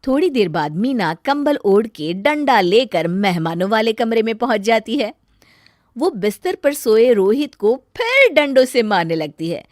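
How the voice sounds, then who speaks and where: A woman narrating an animated family story in a lively, expressive way. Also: a little high, a little slow, no echo, studio quality.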